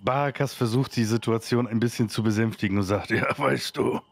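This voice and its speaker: A man with a creaky, gravelly voice at medium pitch plays a tabletop game. He casually narrates his character in the third person. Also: fairly quick. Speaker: player.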